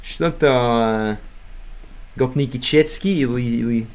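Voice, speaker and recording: A man's voice: mid-range voice, takes it slowly, a little mellow. A young American man streaming casually, rambling with many fillers and restarts, stumbling through beginner Russian. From United States. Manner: hesitant beginner Russian with self-corrections. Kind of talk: casual livestream chat.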